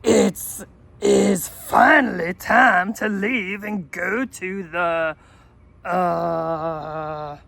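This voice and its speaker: A woman voicing a big male dinosaur from a storybook, loud, booming and theatrical, recorded outdoors. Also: steady tone, very slow, vocal fry, full character voice. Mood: loud, hammy. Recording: homemade outdoor recording with background noise.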